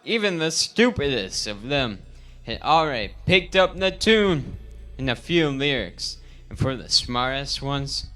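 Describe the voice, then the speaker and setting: A man reading a slang-filled parody storybook aloud in a theatrical, deliberate, mock-gangsta manner. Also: medium pitch, big pitch swings, pushed and bright, faint music behind. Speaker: amateur reader of a parody audiobook.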